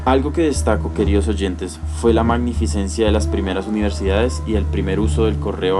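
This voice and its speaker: Lowish-voiced young man narrating over music. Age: young adult. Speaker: radio narrator. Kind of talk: scripted educational radio narration.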